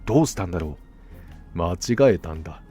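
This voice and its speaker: A man voicing a gullible, self-assured gentleman hunter in a storybook, musing aloud conversationally. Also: sits low, lively intonation, fairly quick, soft background music, pitched below the performer's own voice. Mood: puzzled. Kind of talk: storybook dialogue acting.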